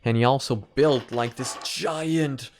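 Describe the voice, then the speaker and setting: A young man giving casual reaction commentary, rambling and halting as he thinks out loud. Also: mid-range voice, slight background noise. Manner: rambling, halting, informal swearing. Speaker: reaction video host.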